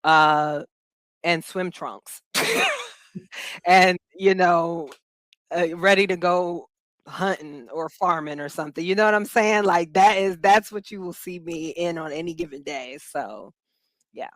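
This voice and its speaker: A woman from Philadelphia, a guest in an interview, speaking reflectively and haltingly as she searches for words, often laughing as she talks. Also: on the low side, animated, a bit bright, no echo. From Philadelphia, USA. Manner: laughs easily while talking.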